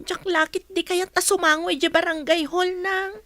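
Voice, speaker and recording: A woman's voice: very high voice, fairly even intonation, moderate pace, pushed and bright, no room sound. A woman playing a distraught, stubborn schoolchild who whines and pleads in a radio drama. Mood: stubborn refusal. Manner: whining, pleading.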